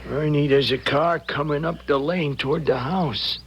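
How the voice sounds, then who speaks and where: An actor playing a timid, whiny, dim-witted crook's sidekick in a 1970s radio drama, stammering and hesitant. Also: male voice, on the high side, fairly even intonation, normal speed, husky. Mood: panicky. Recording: noisy 1970s radio broadcast recording.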